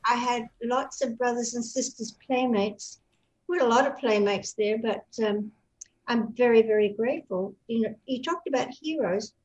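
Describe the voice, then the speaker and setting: A woman's voice: on the high side, moderate pace, a little mellow, poor audio. Older Australian woman telling stories. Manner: rambling storyteller with many fillers and restarts. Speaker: guest. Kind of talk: reminiscing in a live online interview.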